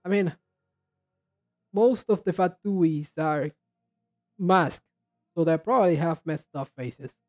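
A man chatting casually and haltingly as he hosts a reaction video, rambling in a low-key way. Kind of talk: casual chat, reacting to game trailers.

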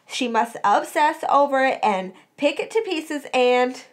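Woman acting a high-pitched, hot-tempered, dramatic teenage schoolgirl. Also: huge pitch swings, a little slow, a bit bright, full character voice. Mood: ranting, exasperated. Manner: emphatic, exasperated, dramatic delivery.

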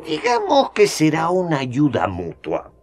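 An actor playing a scheming older magistrate with a gray beard, who talks smoothly and chuckles often in a radio drama. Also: male voice, a little high, huge pitch swings, a little slow, darkish tone, full character voice. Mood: sly.

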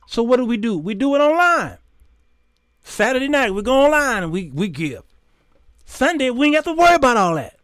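Male American talk host, high register, dry close-mic sound, emphatic preacherly monologue. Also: no room sound. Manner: animated, preacherly, emphatic, with rhetorical questions and acted-out dialogue. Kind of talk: informal opinionated monologue to camera. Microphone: close condenser microphone on boom arm.

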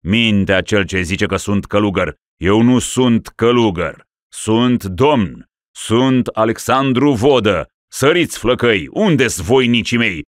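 A hoarse, raving old-world prince on his deathbed, shouting and vengeful, as a man puts him on. The voice climbs far above the reader's deep natural tone and booms out loud. It is recorded close and dry. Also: animated, no echo, close to an everyday voice. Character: dying deposed male prince, raving, furious, vengeful. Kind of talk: audiobook reading of a classic story.

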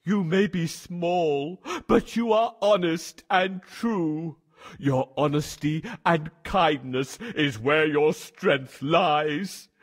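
A man voicing a proud, mighty, boastful lion king in a children's fable, with a theatrical, drawn-out delivery. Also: on the high side. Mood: grateful.

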